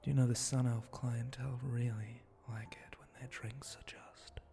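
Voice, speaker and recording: A man voicing a flirty, teasing spider-monster bartender in an intimate ASMR roleplay, breathy and often close to a whisper, with a languid, suggestive way of talking. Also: medium pitch, little pitch movement, mellow, faint music behind, natural voice. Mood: relaxed, chatty. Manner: slow, languid, suggestive drawl with pauses and hums.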